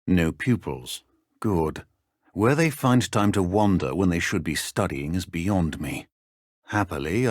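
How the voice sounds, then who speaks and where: An actor playing a stern middle-aged British wizard professor in a video game, speaking in a measured, dry, formal way. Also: male voice, on the low side, no echo.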